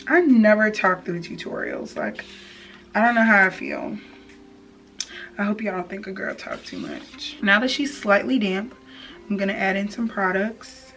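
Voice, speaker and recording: A woman's voice: medium pitch, huge pitch swings, a little slow, creaky, a bit bright. A young adult woman chatting casually and playfully in slangy speech, like a beauty vlogger giving a hair tutorial. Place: indoor room, plain wall backdrop. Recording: slightly distant mic, faint music.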